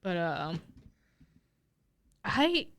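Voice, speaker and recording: A woman's voice: on the low side, sing-song, drawn-out words, fairly bright tone. A young woman co-hosting a podcast, reading out a list in a matter-of-fact, casual way. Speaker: podcast co-host. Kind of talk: casual chat.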